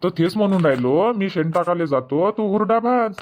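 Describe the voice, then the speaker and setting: A man's voice: high-pitched, lively intonation, moderate pace, a little mellow. A man voicing an evasive village farmer husband in a dubbed cartoon comedy, grumbling and bossy.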